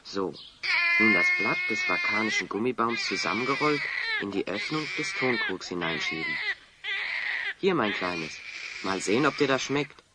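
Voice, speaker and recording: An actor playing a cheeky, practical young adventurer in a German audio drama, speaking in a casual, matter-of-fact way. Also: male voice, fairly low pitch, wildly swooping pitch, normal speed. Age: young adult. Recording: heavy noise behind the voice.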